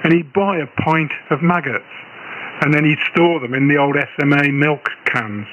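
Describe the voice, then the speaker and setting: A British man in middle age talks casually over ham radio. His voice is bright and cutting, with faint noise on the shortwave signal. He rambles along as if reminiscing with fellow operators. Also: on the high side, normal speed, muffled recording. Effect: radio transmission. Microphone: radio transceiver, received over shortwave.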